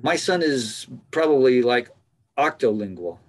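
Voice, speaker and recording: A man's voice: mid-range voice, measured pace. Chatty middle-aged man on a video call. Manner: relaxed, chatty, laughs often, some restarts. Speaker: interview guest, biologist. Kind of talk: casual video-call interview. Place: office with bookshelf. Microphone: video call webcam.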